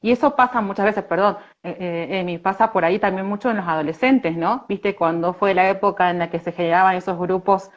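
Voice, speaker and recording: A woman's voice: mid-range voice, steady tone, a little fast, few pauses, highs cut off. A woman with an Argentine Spanish accent joining a lecture conversationally with her own examples.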